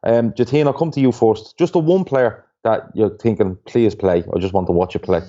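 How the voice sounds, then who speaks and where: A man hosting a casual football podcast, relaxed and loose as he guides the talk. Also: fairly low pitch, a little fast. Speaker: podcast host. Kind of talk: casual chat. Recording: dull lo-fi podcast audio.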